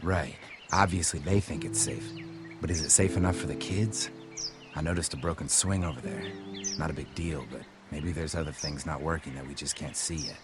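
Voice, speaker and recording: An actor playing an earnest, cautiously hopeful American survivor in a video game, talking casually. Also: male voice, extremely low pitch, moderate pace. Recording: game soundtrack, music and birds behind.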